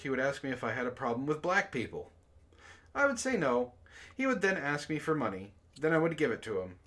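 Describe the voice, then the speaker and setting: A man's voice: mid-range voice, fairly bright tone. A man hosting a book club stream, reading aloud and chatting casually. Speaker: host and reader of a comic book club stream.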